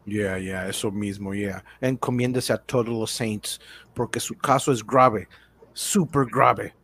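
A man's voice: lowish voice, fairly even intonation, slow, a bit bright, heavily acted. An actor in a comic radio drama playing a sardonic, officious New York policeman, mixing languages. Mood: stern, sardonic. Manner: mixes English into Spanish, sardonic.

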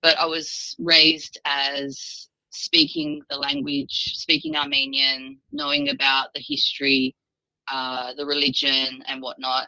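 Woman, low gravelly voice, bright sharp tone, halting storytelling, dry close mic. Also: no echo, low-quality recording. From Australia. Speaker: podcast guest. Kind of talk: casual personal storytelling.

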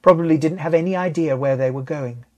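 Sci-fi audiobook role: practical female ship companion, played by a male narrator, raised pitch, brisk and watchful. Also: close to an everyday voice. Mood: matter-of-fact.

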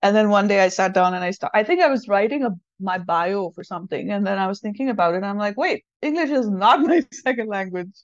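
Interview guest: Indian-accented woman, mid-pitched, thoughtful explainer, dry but muffled low-fidelity sound. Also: fast, few pauses, no room sound. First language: Hindi. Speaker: podcast guest, English language teacher and trainer. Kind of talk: conversational interview answers. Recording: dull lo-fi audio.

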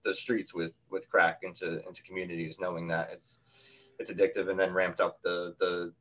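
Lowish-voiced man, halting podcast talk. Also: flat delivery, a bit bright. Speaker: podcast co-host. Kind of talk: casual discussion.

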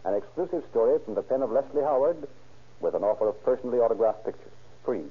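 A man's voice: mid-range voice, animated, fast, husky. A man announcing a scripted 1930s radio advertisement with polished, emphatic salesman's phrasing. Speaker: commercial announcer. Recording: scratchy, tinny 1930s radio recording.

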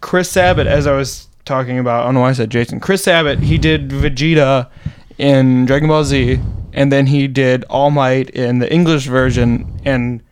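A man's voice: medium pitch, fairly even intonation, normal speed, slight background noise. A young man co-hosting a podcast, rambling enthusiastically in a casual chat with lots of "like" and "you know". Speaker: podcast co-host.

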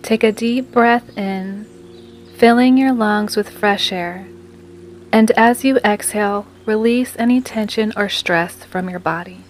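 A woman guiding a scripted meditation in a soothing, measured manner with long pauses. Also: mid-range voice. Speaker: meditation guide and podcast host. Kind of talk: guided meditation, scripted narration. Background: music.

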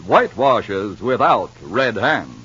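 A man's voice: big pitch swings, takes it slowly, fairly bright tone, slightly rough. A man delivering an emphatic, punchy advertisement in old-time radio style. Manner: emphatic, punchy sales pitch. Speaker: radio commercial announcer. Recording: muffled old radio broadcast recording.

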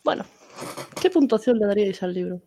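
A woman's voice: medium pitch, very animated, brisk, warm tone, a little hiss or hum. Chatty Galician woman hosting a podcast. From Galicia, Spain. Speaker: podcast host. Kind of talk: casual book club chat.

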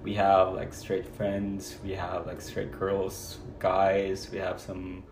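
A man's voice: low-pitched, completely flat delivery, slow, roomy sound, background noise. A man from the Philippines with a Filipino accent, speaking hesitantly with many fillers as an interview guest. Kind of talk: casual personal conversation.

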